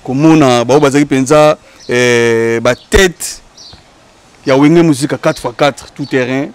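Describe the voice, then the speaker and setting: A man's voice: mid-range voice, a little slow, clear, resonant tone. A man hosting a studio talk show, thanking the guest, affirming him briefly and steering the topic with questions.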